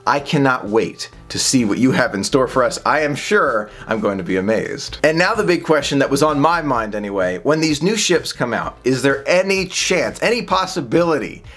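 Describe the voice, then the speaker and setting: A man's voice: medium pitch, animated, moderate pace, few pauses, a bit bright. A man in his thirties talking casually to the camera from a small home office, upbeat and chatty, gesturing as he speaks. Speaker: vlogger.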